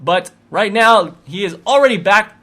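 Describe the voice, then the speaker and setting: A man giving play-by-play commentary on a video game, getting excitable as the action builds. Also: high voice, normal speed. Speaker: esports commentator.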